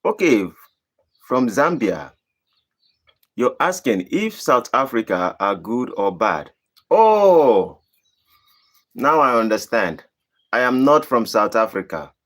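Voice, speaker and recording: A man from Nigeria with a Nigerian accent, hosting a live stream and answering viewer questions in a deliberate, emphatic way. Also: very animated. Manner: deliberate, emphatic, rising to high emphatic bursts. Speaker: channel host.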